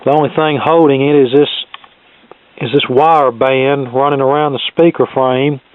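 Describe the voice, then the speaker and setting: A man's voice: fairly high pitch, clear, resonant tone, no echo. Man with thin, phone-like sound, thinking aloud. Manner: plain-spoken, thinking aloud as he inspects. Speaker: vintage radio repair hobbyist presenter. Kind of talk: casual unscripted demonstration commentary.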